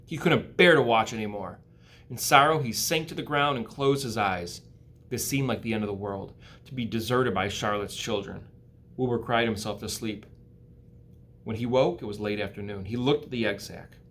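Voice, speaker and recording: A man's voice: lowish voice, moderate pace, a bit bright, a little hiss or hum. A man with an American accent, an educator, narrating a storybook aloud for children. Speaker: school educator reading aloud.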